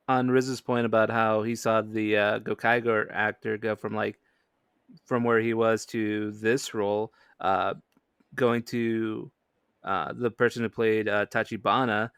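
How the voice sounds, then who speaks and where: A man's voice: fairly low pitch, fairly bright tone, clean tone, no echo, studio quality. A man on a podcast panel, discussing a film in a casual, conversational way.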